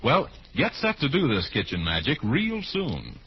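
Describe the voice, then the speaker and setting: A man's voice: medium pitch, huge pitch swings, unhurried, slightly rough. A man announcing a scripted advertisement in 1940s radio style. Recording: muffled, hissy old radio recording.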